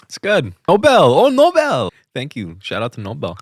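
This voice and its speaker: A man's voice: fairly high pitch, huge pitch swings, moderate pace, husky, no room sound, clean studio sound. A man co-hosting a podcast with casual banter, blunt and joking, swearing freely. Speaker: podcast co-host.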